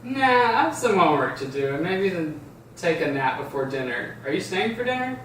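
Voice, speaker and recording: A man's voice: high voice, big pitch swings, normal speed, fairly bright tone, pitched above the performer's own voice. A man reading the part of a tired, stressed schoolboy brother in an amateur script table read. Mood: casual. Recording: very echoey room, distant mic, background hiss.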